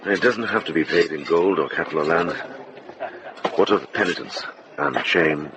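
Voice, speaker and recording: An actor playing a wise older Benedictine monk in a radio drama, gentle but probing, with measured, old-fashioned speech. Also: male voice, very deep voice. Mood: earnest, pleading. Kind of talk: British radio drama acting. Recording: noisy outdoor-like drama soundscape.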